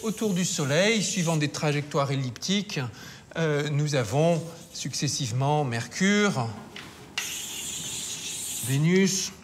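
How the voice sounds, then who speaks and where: A man explains science for a documentary, his voice slightly high. He builds the picture step by step, with small hesitations. Faint noise sits in the background. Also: expressive, slow pace, long pauses.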